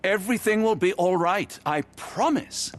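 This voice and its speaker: Kindly, lively-voiced British gentleman doctor. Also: high-pitched, normal speed. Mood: reassuring. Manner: reassuring, polite, earnest. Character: kindly, courteous gentleman doctor, the detective's companion. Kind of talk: video game voice acting.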